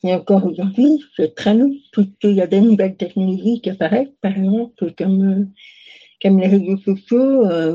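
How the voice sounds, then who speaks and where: A woman's voice: mid-range voice, husky, muffled recording. A young woman answering interview questions casually, hesitant, with many fillers and restarts. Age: young adult. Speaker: interview guest.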